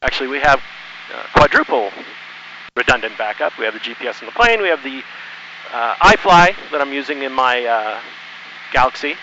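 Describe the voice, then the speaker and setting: A middle-aged man with a very bright, edgy voice talks casually to himself as he flies. His phrases come haltingly as he works through each step. The audio is narrow and lo-fi, like a cockpit headset, with faint engine noise underneath. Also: mid-range voice, lively intonation. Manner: halting, thinking aloud to himself. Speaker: student pilot flying solo, narrating for video. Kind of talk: casual running commentary while flying. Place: cockpit of a small plane in flight. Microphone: aviation headset.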